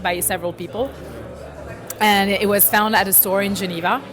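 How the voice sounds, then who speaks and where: A middle-aged woman from France, talking casually in an interview, animated and laughing, often trailing off and restarting. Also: medium pitch, moderate pace. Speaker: interviewee, watch collector. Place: busy indoor event. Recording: crowd chatter behind.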